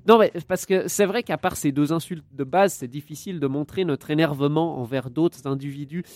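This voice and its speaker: A man's voice: a little high, a little fast, a little mellow, no room sound. A man hosting a casual radio-style chat, joking and chatty, raised with Italian alongside French. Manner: joking, chatty, drifts into asides. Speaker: podcast co-host.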